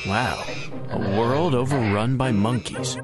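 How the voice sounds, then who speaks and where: A man's voice: medium pitch, measured pace, loud music behind the voice, lightly acted. A man playing a hot-headed, bumbling time traveler in a comic audio sketch, with a melodramatic delivery. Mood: exasperated.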